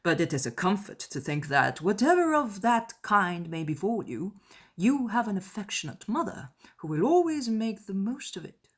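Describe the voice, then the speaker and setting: A woman voicing a dry, teasing older gentleman father in a dramatized audiobook, with a wry, drawling manner. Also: mid-range voice, big pitch swings, moderate pace, slightly rough.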